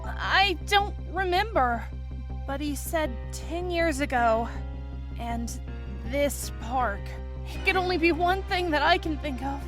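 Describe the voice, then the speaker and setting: A woman voicing a plucky, playful young lady detective in a game fan dub, expressive and exclamatory. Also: high-pitched, takes it slowly, over music. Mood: puzzled.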